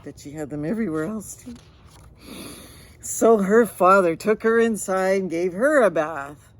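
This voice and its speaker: A woman's voice: medium pitch, a little slow, slight background noise. A woman reading a children's picture book aloud with chatty asides, stretching words and sound effects expressively.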